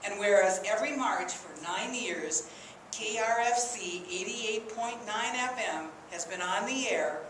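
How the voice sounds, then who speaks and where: A woman reads an official proclamation in an echoey council chamber, with faint noise behind her. She speaks as a mayor, in a measured, ceremonial style at a middling pitch. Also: fairly bright tone. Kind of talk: formal read-out proclamation.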